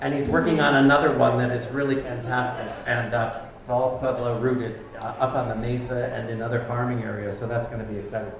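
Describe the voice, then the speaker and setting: A man's voice: mid-range voice, level tone, a little fast, hardly any pauses, crowd noise. A man hosting a live community event in the back room of a bar, giving loose, chatty opening remarks with many ums. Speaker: event host. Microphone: handheld microphone from a distance. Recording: echoey room, far from the mic, faint noise.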